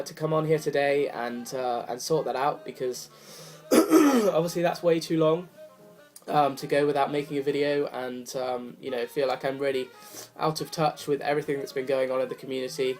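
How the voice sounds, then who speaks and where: A young man talks in a relaxed, wandering way, full of ums and restarts. His voice is slightly rough from a cold. Quiet jazz plays in the background. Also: fairly high pitch, moderate pace. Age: young adult. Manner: rambling, apologetic, many fillers. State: hoarse from a cold. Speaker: vinyl collector vlogger. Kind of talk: casual chat to camera. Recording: faint music behind the voice. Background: jazz record playing softly.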